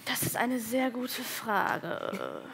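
A woman's voice: a little high, extremely slow, creaky, fairly bright tone, slight background noise. A young woman with a Hessian accent, a comedian guest speaking bluntly and with self-mocking humor in an interview. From Hesse, Germany. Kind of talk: candid interview talk.

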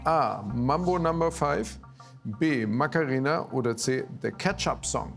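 A man's voice: medium pitch, lively intonation, a little slow, vocal fry. A man hosting a TV quiz show, announcing results and reading out questions in an upbeat manner. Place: TV studio with audience. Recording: studio with music and crowd.

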